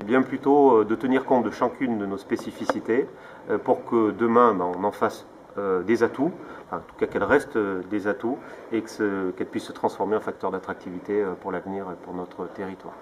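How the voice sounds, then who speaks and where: A man's voice: fairly low pitch, fairly even intonation, fairly quick. A man giving interview testimony, hesitant and correcting himself as he goes. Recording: faint background noise.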